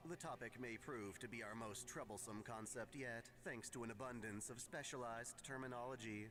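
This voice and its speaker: A man narrating a scripted lore lesson in a measured, formal lecturing manner. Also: medium pitch. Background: music.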